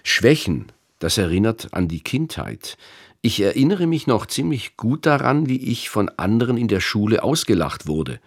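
A man's voice: lowish voice, sing-song, moderate pace. A male radio host reading a reflective, self-critical introduction in a studio. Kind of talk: scripted radio presentation.

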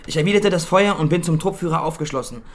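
An actor playing a nervous young soldier who gives stiff, clipped reports in military jargon in a radio drama. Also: male voice, on the high side, quick pace, close to an everyday voice. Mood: stiff, reporting. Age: young adult. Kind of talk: German radio drama acting.

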